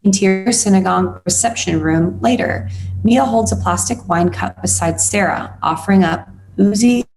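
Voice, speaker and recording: A woman's voice: medium pitch, moderate pace, noisy background. A woman reading screenplay stage directions as scripted narration at a table read.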